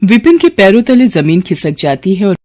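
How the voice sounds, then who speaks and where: A woman's voice: mid-range voice, big pitch swings, moderate pace, a little mellow. A woman narrating a scripted story as a storyteller.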